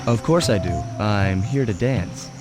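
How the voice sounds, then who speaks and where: A man's voice: fairly low pitch, measured pace, loud music behind the voice, close to an everyday voice. A man voicing a suave young raccoon thief in a cartoon game, laid-back, wry and confident. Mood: smooth, assured.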